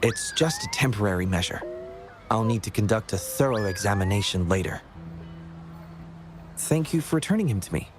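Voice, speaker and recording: A man playing a gentle, modest young scientist in a video game, speaking in a polite, measured, reassuring way. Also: fairly low pitch, animated, soft background music, close to an everyday voice. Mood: calm, focused. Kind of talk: video game character acting.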